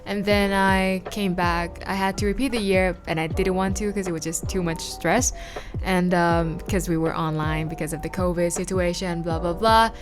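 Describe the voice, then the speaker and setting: A woman's voice: fairly low pitch, steady tone, normal speed, music in the background. A young woman from Thailand, a native Thai speaker, giving casual study tips in a chatty, informal way with frequent "like" and "um". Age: young adult. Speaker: vlogger.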